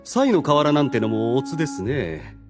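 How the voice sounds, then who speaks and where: A man's voice: medium pitch, long reverb tail, music in the background, close to an everyday voice. A man playing a suave, devoted demon strategist who speaks with polite relish, in a Japanese anime audio drama. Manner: polite, smooth-talking, deliberate flattery.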